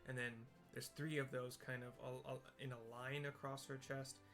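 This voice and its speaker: Man, mid-range flat voice, hesitant improvised scene description, plain wording, game music in the background. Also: medium pitch. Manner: hesitant, halting, searching for plain comparisons.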